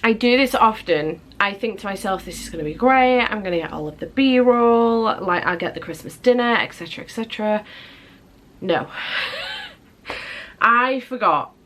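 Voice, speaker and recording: A woman's voice: medium pitch, animated, normal speed, a bit bright. A young English woman chatting casually in a vlog, her nose stuffed up from a cold, rambling and swearing freely. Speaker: vlogger. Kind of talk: casual monthly vlog chat. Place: at home, indoors.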